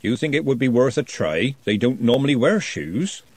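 A man's voice: medium pitch, big pitch swings, moderate pace, darkish tone, breathy edge, no room sound. A man voicing a mild, friendly male dwarf craftsman in a storybook reading.

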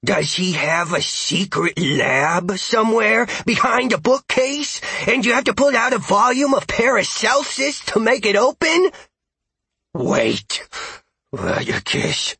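A man performs a playful, chatty dog telling his own story in an audiobook. The voice is bright and forceful, very animated and rough-edged. It is recorded close and dry, with an exuberant, goofy delivery. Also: medium pitch, measured pace, no echo, heavily acted. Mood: playful teasing. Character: excitable, playful male dog narrating his adventures. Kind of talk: first-person audiobook narration.

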